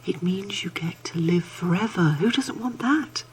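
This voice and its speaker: A woman's voice: on the low side, moderate pace, natural voice. A woman acting in an audio drama as a sinister comforter, coaxing in a whispery, soothing way. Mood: soothing, eerie.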